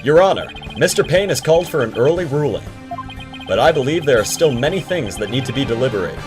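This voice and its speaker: A man's voice: mid-range voice, lively intonation, fast talker, close to an everyday voice. A man playing an earnest, composed defense attorney with a polite, assured manner in a video game fandub. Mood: firm, polite. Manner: polite, assured, occasionally exasperated. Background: prominent game music.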